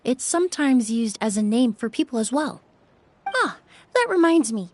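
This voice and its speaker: A woman voicing a polite, composed young perfumer in a video game, explaining things in a measured, courteous way. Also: on the high side, sing-song. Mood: storytelling.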